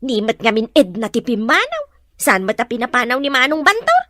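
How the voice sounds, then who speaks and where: An actress playing a nosy, brazen village gossip in an Ilocano audio drama, with an Ilocano accent and a sing-song teasing manner. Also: female voice, a little high, huge pitch swings, moderate pace, bright, forceful tone, dry recording, clean studio sound, heavily acted. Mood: gossipy.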